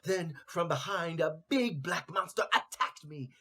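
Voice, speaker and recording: A man's voice: on the high side, lively intonation, measured pace, bright, forceful tone, husky, full character voice. A man voicing a terrified, breathless storybook robber, speaking in a dramatic, agitated, emphatic way. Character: terrified, breathless male robber telling a tall tale. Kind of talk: storybook character voice.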